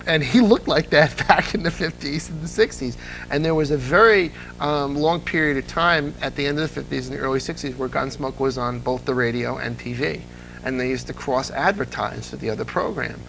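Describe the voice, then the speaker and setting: A man in his thirties, a guest on a studio interview show, who tells stories with animated energy and slips into quick impressions. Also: medium pitch, vocal fry, soft background music, poor audio. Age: 30s. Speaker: guest, radio enthusiast and TV producer. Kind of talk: casual studio interview chat.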